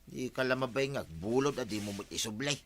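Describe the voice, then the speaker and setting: A man's voice: medium pitch, lively intonation, fast talker, a bit bright. Brash wheedling Ilocano village man, played by an actor. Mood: defensive, bickering. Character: brazen village man who borrows things and never returns them. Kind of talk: Ilocano comedy radio drama acting.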